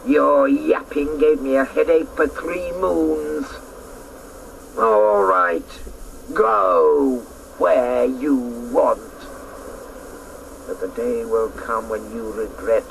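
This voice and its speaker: A scornful, ancient guardian in a radio play. The voice creaks and takes its time, mocking and imperious. There is faint noise from an old broadcast. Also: male voice, mid-range voice, takes it slowly, low-quality recording. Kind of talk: radio drama acting.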